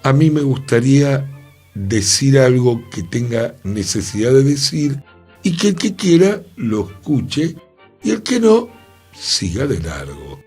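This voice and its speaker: Argentine guy on a radio talk show, unhurried, voice rises and falls a lot, faint music behind. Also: medium pitch, very animated, darkish tone, slightly rough. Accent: Rioplatense Spanish. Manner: thoughtful, questioning, emphatic. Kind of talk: reflective radio conversation. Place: radio studio.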